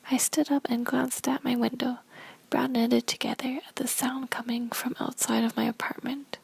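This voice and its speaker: Breathy whispering woman storyteller. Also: a little high, low-quality recording. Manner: soft unvoiced whisper throughout. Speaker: story reader. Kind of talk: whispered first-person story reading.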